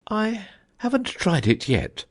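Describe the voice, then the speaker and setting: A man voicing a solemn, dreamy old knight who rambles about his inventions, in a husky voice. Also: slow, heavily acted. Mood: musing. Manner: rambling, thoughtful, lively pitch swings.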